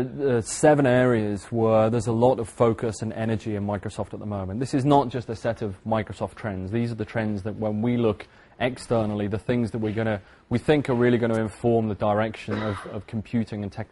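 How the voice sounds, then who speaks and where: A man's voice: on the low side, warm tone. A man from the UK with a British accent, giving a conference talk in a loose, conversational way with many fillers and restarts. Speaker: conference speaker. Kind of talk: presentation talk.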